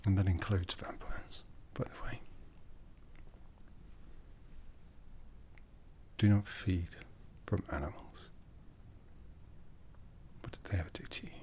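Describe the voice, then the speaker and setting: A man playing an aloof, commanding aristocratic vampire in an ASMR roleplay, half-whispering slowly and deliberately with a breathy, seductive delivery. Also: low-pitched, a little mellow. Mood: stern, instructive. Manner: slow, deliberate, measured.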